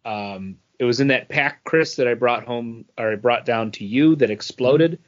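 A man's voice: medium pitch, moderate pace. A man co-hosting a podcast in informal, casual conversation. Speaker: podcast co-host. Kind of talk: casual chat. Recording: slightly dull, lo-fi podcast audio.

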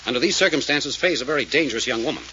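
An actor playing a brisk, decisive detective in a 1940s radio mystery, firm and authoritative as he explains. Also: mid-range voice, lively intonation, sharp tone. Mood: grave, commanding. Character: brisk, decisive male detective, grimly certain. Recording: muffled old radio recording with noise.

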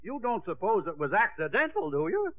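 Crotchety old grandfather, meddling and emphatic, high animated voice, vintage radio comedy. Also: fairly quick. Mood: insistent. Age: older. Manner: grumbling, emphatic. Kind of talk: 1950s radio comedy acting. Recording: old radio recording, thin phone-like sound.

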